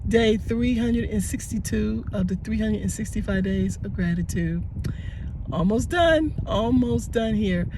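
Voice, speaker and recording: A man in his sixties, hoarse and raspy from tonsillitis, rambling earnestly through a daily gratitude vlog. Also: sits high, unhurried, background noise. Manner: rambling and earnest, many fillers and restarts. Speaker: gratitude vlogger. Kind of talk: casual spoken faith vlog.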